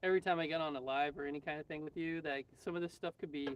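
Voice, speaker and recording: A man's voice: a little high, fairly even intonation, racing, far from the mic. A man chatting casually on a livestream, thinking aloud in a rambling, joking way. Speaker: livestream guest and radio hobbyist. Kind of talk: casual live chat. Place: outdoors in a quiet spot with birds. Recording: off-mic, faint background noise.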